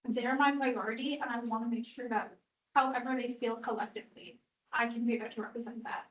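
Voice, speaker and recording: A woman's voice: fairly high pitch, steady tone, brisk, fairly bright tone. A young woman answering earnestly as a student candidate, listing her points in order. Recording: distant, echoey, dull lo-fi sound.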